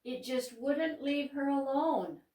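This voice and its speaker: Middle-aged woman, Upper Midwest American accent, unhurried and expressive children's storyteller, recorded off-mic in an echoey room. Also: high-pitched, recorded from a distance. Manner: gentle, slow and expressive, pausing to explain pictures. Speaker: children's librarian hosting storytime. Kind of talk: reading a picture book aloud to children. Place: church room. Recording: roomy sound, mic set back.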